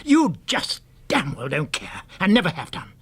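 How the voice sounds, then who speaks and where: An actor playing an excitable, articulate middle-aged British husband in a science-fiction radio drama. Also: male voice, sits high, moderate pace, husky, full character voice. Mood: indignant, aggrieved. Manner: theatrical, articulate, indignant.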